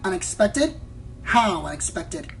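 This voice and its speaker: A woman's voice: on the low side, sing-song, takes it slowly, gravelly, exaggerated voice, rough recording. A woman playing a probing, smug female pony news reporter in a radio play, with a dramatic, insinuating delivery. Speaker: interviewer. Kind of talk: radio play acting, theatrical interview. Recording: music behind the voice.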